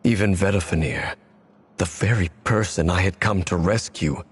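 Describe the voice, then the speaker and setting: Give a brooding old-world swordsman a very low, measured voice that sounds heavy with memory, like someone who has carried a betrayal for centuries. He speaks slowly and gravely in game dialogue, each word weighted. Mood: haunted. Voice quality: deep, measured.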